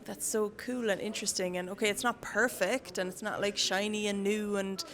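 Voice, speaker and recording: A woman's voice: medium pitch, fairly even intonation, normal speed, fairly bright tone. A young woman with a Cork Irish accent, a council worker as the guest in a casual interview, chatty and laughing often. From Ireland. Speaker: city council staff guest.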